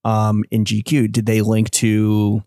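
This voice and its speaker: A man's voice: fairly low pitch, completely flat delivery, slow pace, dark tone, no room sound, clean studio sound. A man co-hosting a podcast, trading wry, profane banter. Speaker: podcast co-host. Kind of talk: casual chat.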